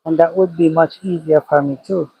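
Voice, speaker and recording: A man's voice: fairly high pitch, steady tone, normal speed, creaky, mellow, a little hiss or hum, poor audio. A man with a Jamaican accent, a cattle farmer livestreaming from his pasture, thinking aloud and repeating his phrases as he talks. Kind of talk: casual livestream chat, reading comments. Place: outdoors by a cow pasture.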